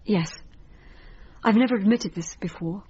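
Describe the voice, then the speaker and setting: An actress playing an anxious, hesitant female therapy patient in a radio drama, speaking in a halting, nervy, searching way. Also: medium pitch, mellow, husky, lo-fi sound. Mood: anxious, confessing. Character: anxious, hesitant woman patient, a panicky mother.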